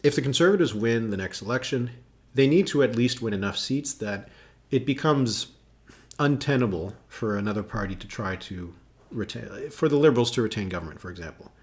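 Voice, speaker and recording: A man's voice: mid-range voice, animated, brisk. A man hosting a live election analysis stream, chatting casually with many fillers as he thinks aloud and reacts to viewers. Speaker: political analyst hosting a livestream.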